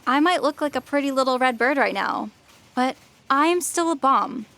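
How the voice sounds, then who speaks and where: A woman performs a high-voiced little bird that is secretly a self-destruct program. It sounds perky and needy with a threat underneath, as comic sci-fi audio drama. The recording has clear noise. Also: lively intonation, normal speed. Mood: menacing.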